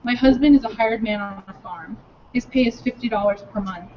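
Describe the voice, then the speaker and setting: A woman at a filibuster, reading letters aloud in an earnest, measured way. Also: fairly high pitch, slight background noise. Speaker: speaker at a filibuster. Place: state capitol building. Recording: dull lo-fi recording.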